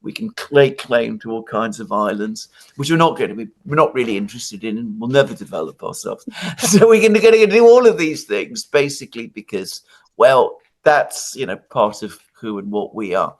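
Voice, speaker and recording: Animated Greek-born man, halting restarts. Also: mid-range voice. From Greece. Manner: animated, halting restarts, laughs between points. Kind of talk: casual analytical discussion.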